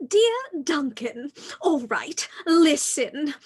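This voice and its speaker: A woman's voice: high-pitched, very animated, extremely slow, voice pushed up, full character voice. A woman voicing a vain, exasperated crayon in a readers theater storybook piece. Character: vain, exasperated purple crayon proud of its color.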